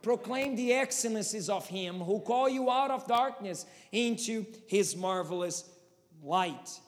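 Brazilian-accented pastor preaching in a reverberant hall. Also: male voice, high-pitched, animated, a little slow. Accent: Brazilian Portuguese. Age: 30s. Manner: impassioned preaching with rising rhetorical questions. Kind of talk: sermon.

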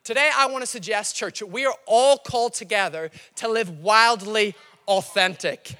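High-voiced Northern Irish pastor preaching. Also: male voice, normal speed, big-room sound. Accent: Northern Irish, slightly Scottish-tinged. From Northern Ireland. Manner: lively storytelling, addresses the congregation directly. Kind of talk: sermon.

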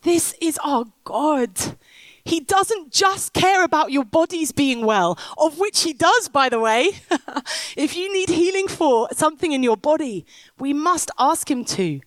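A woman's voice: high voice, lively intonation, a little slow, no room sound. A woman preaching on stage, telling a story and rousing the crowd. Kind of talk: sermon with storytelling. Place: stage in a big top tent.

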